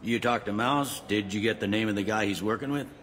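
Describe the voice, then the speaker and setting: A man plays a pragmatic middle-aged police captain in a sci-fi game. His voice is on the low side, weary and plain-spoken, in a reverberant room with slight noise. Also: fairly quick, a bit bright, natural voice. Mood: awkward, evasive. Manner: plain-spoken, hedging, matter-of-fact. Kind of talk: video game voice acting.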